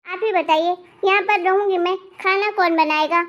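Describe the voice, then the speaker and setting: A woman plays a young married daughter in a cartoon family drama, extremely high in pitch. She is hot-tempered and sulky, with a sharp, demanding tongue, and stays in a narrow band of notes. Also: steady tone, normal speed. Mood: angry, indignant. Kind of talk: animated family drama dialogue.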